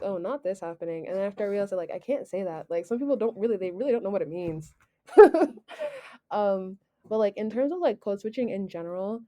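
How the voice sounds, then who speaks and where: A woman's voice: medium pitch, dark tone. A young woman student speaking candidly on a podcast, self-deprecating and a little hesitant. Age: young adult. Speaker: student guest. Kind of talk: casual podcast discussion.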